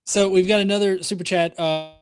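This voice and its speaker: A man's voice: high voice, steady tone, a little fast, clean tone, no room sound. A man hosts in a casual, jovial way, shifting easily between chatting and reading messages aloud. The sound is dry, with almost no room around the voice. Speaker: live stream host.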